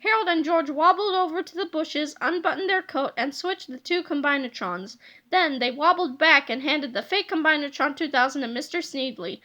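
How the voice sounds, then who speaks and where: A woman reads a children's book aloud. Her voice is very high and bright, and she tells the story expressively. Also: normal speed. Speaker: storybook reader.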